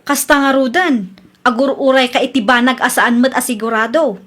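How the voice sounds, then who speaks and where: High-pitched, fretful Ilocano wife played by a woman. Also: steady tone, moderate pace, fairly bright tone. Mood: nagging. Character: fretful, nagging wife of a jeepney driver. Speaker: actress in a radio drama. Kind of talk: Ilocano comedy radio drama acting.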